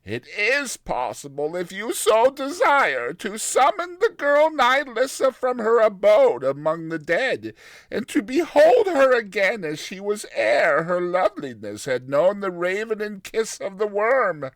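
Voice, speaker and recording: A man voicing a sly talking viper in a slow, measured hiss for a dramatized fantasy story. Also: high voice, sing-song, fairly bright tone, no echo, pitched above the performer's own voice, exaggerated voice. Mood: coaxing, slow. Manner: slow, measured hissing delivery.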